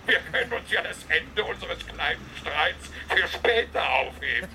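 A man's voice: fairly high pitch, animated, normal speed, creaky, pushed and bright, a little hiss or hum, heavily acted, rough recording. A man playing a pedantic, exasperated council foreman with a bureaucratic manner in a German radio comedy. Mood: befuddled, yielding. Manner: bureaucratic, repetitive, hesitant.

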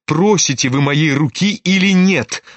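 A man voicing a proud, sharp-tongued young noblewoman in a storybook reading, mocking and imperious. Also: fairly high pitch, a little slow, no echo, pitched above the performer's own voice, natural voice.

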